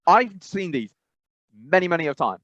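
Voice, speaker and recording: A man's voice: a little high, big pitch swings, normal speed, fairly bright tone, no echo. A man from England co-hosting a casual podcast, rambling and hesitant with many restarts. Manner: rambling, hesitant, many fillers and restarts, laughs while talking. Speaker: podcast co-host. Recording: dull dry lo-fi mic.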